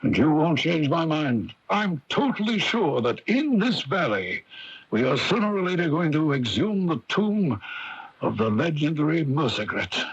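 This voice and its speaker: Casting: a driven, stubborn archaeologist husband in radio drama, rough husky tone, very animated delivery. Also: male voice, fairly high pitch, unhurried, dull audio, full character voice. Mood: fervent, driven. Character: stubborn, obsessive archaeologist husband, proud and impatient. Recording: hissy early-1980s AM radio broadcast.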